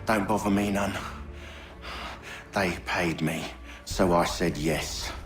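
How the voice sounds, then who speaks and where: Game villain, male: a cynical hired thug, low-pitched, slow, taunting and rough-spoken. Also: steady tone, soft background music. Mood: bitter, indifferent. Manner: taunting, rough colloquial speech. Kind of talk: dramatic video game acting.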